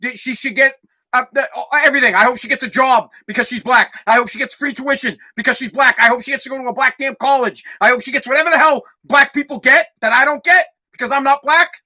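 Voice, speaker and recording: A brash young man with a Boston accent, his voice high for a man and piercingly bright. He rants hard into a desk mic, swearing freely as he hosts his solo podcast. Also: steady tone, quick pace. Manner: loud, profane, ranting. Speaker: podcast host.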